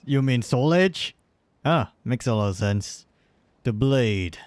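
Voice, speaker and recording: Male livestreamer, mid-range voice, unhurried casual game commentary with wry asides, dry close sound. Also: mid-range voice, lively intonation, no echo.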